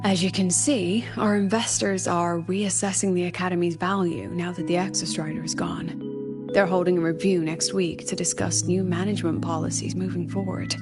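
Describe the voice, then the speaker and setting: An actress playing a shrewd, scheming academy professor who talks in a coaxing, conspiratorial way. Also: female voice, fairly low pitch, fairly even intonation, normal speed, loud music behind the voice, close to an everyday voice. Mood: earnest. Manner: coaxing, conspiratorial, slightly awkward. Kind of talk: video game voice acting.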